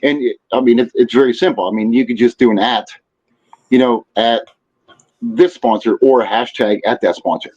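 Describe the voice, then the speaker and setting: Kinda dull lo-fi sound, guy on a podcast rambling thru advice, average pitch, super casual. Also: steady tone, moderate pace, darkish tone, breathy edge. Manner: rambling, peppered with "you know". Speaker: podcast guest. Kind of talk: casual chat, giving advice. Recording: dull, lo-fi audio.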